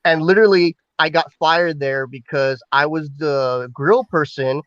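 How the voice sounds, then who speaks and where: A man's voice: a little high, a little slow, no echo, lo-fi sound. A man from Northern California, a guest telling stories in a casual chat, rambling with many "like"s and restarts.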